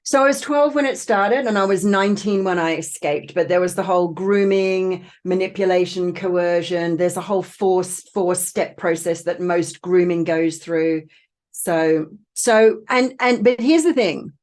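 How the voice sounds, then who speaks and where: A woman's voice: on the low side, unhurried, darkish tone. A woman with an English accent and a slight Australian twang, telling her story in a casual, digressive way. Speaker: interview guest, transformational coach.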